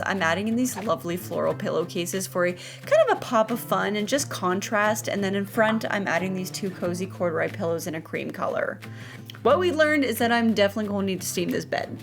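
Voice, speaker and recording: A woman's voice: medium pitch, a little fast, soft background music. A woman with a playful, chatty manner, narrating a home makeover video in an upbeat scripted style with casual asides. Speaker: host of a home makeover vlog.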